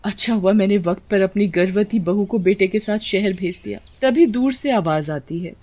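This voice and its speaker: A woman's voice: medium pitch, moderate pace, warm tone. A woman reading a scripted story as its narrator.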